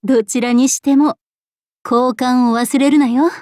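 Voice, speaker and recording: A woman voicing a laid-back, blunt tomboyish gunner in a game, with rough, boyish, offhand speech. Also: high-pitched, steady tone, measured pace, darkish tone, dry recording, studio quality. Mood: laid-back, weary. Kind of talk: Japanese game character voice acting.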